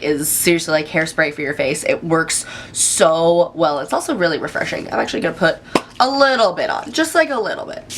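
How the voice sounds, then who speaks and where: A woman's voice: lowish voice, fairly bright tone, a little hiss or hum. Nasal, stuffed-up young woman vlogger. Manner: chatty, enthusiastic, many fillers. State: stuffy nose from a cold. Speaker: beauty vlogger. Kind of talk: casual product review.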